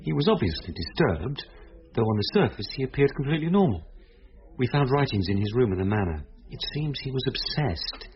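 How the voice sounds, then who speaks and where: A composed police detective in a radio play. He explains in a measured, gentle, reassuring way at a medium pitch, on a slightly dull recording. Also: big pitch swings. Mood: matter-of-fact.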